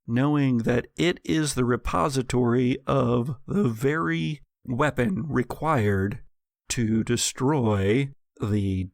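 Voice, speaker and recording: Male American game master for gothic tabletop narration; slow, theatrical delivery, dry recording. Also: medium pitch, dry recording.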